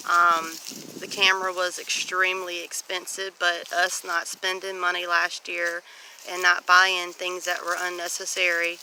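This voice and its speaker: Southern lady doing a homestead walk and talk, bright voice, kinda level, bit of wind noise on the camera mic. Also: on the low side, rough recording. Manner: folksy, chatty. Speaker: homestead vlogger. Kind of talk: casual walk-and-talk vlog. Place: outdoors on a rural homestead. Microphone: camera microphone. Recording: breezy outdoor wind and ambient noise.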